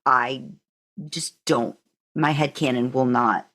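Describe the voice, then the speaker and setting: A woman's voice: rumbling low, steady tone, slow pace, fairly bright tone. A woman co-hosting a podcast, chatting casually through a ranked countdown and repeating words for emphasis. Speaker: podcast co-host. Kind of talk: casual fan podcast chat, ranking countdown.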